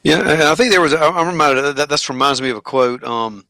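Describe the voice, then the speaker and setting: Bright-voiced man in casual chat. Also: fairly high pitch, quick pace, dry recording, soft background music. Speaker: podcast guest.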